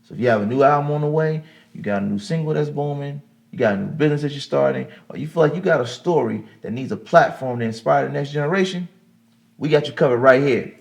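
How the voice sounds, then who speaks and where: A man's voice: a little high, fast, gravelly. A man hosting his own show, reading an upbeat, inviting promo for it. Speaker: show host.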